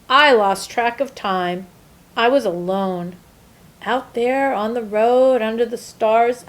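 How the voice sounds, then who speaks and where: A woman reading a picture book aloud to children in an animated, deliberate, expressive storytime manner. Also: on the high side. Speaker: storytime reader, teacher-like host.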